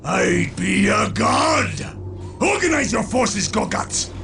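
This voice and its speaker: Bloodthirsty chaos warlord for a game, super gravelly, high strained shouting, bombastic and arrogant. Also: male voice, animated, slow, soft background music, heavily acted. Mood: scheming, grandiose. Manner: bombastic, menacing, declamatory. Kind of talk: theatrical video game voice acting.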